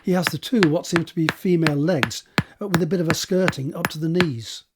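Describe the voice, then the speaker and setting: A man reading scripted scene descriptions as a narrator, in a measured, descriptive way. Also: a little high, noisy background. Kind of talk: scripted narration of stage directions.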